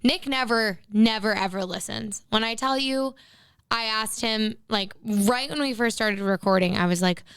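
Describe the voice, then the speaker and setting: Chatty young woman podcast co-host, crisp and dry. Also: on the high side, moderate pace, a bit bright, no echo. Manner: chatty, laughs often, acts out quoted people. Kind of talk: casual chat.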